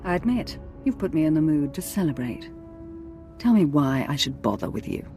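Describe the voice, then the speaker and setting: A seductive, gloating villainess in a space fantasy game. She talks in a languid, sardonic tease over clearly audible music. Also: deep voice, big pitch swings, normal speed, dark tone. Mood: teasing. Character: sly, seductive female Sith commander, gloating. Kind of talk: dramatic video game voice acting.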